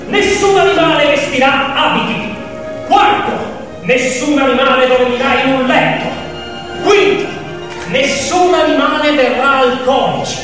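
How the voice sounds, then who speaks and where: A man's voice: very high voice, pitched above the performer's own voice. A man playing a revolutionary farm leader proclaiming solemn commandments in an emphatic, declamatory stage style. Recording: echoey, distant reverberant hall with music.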